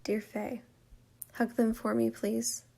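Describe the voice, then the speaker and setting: A young woman playing a wry, tender teenage girl in a stage drama of spoken letters, with a slight creak in her voice. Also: a little high, flat delivery, slow, mellow, faint music behind, full character voice. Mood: quiet, sad, tender.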